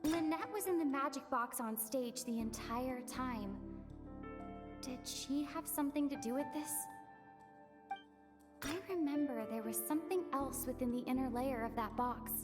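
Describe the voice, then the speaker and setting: A woman voicing a composed young investigator in a video game, measured and analytical. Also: sits high, over music, natural voice. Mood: thoughtful, analytical. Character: composed young investigator reasoning through a case.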